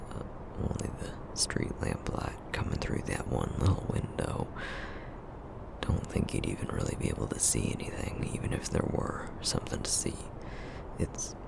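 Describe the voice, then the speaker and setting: A man voicing a gentle sorcerer boyfriend with a Southern accent, speaking softly and hesitantly with many fillers in an ASMR roleplay. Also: extremely low pitch, big pitch swings, gravelly, full character voice. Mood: soft whisper. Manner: soft, hesitant, halting with fillers. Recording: lo-fi phone-like sound with loud noise.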